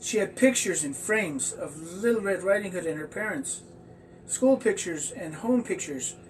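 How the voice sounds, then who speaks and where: High-pitched man reading a children's story. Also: expressive, unhurried. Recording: distant mic with faint background noise.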